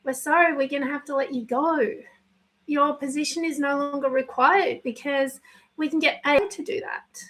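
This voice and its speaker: A woman life coach speaking live and unscripted, her voice husky today, talking slowly and choosing her words with care. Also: sits high, steady tone, a bit bright. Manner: slow, pausing, careful with words, apologetic. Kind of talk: spontaneous live video talk.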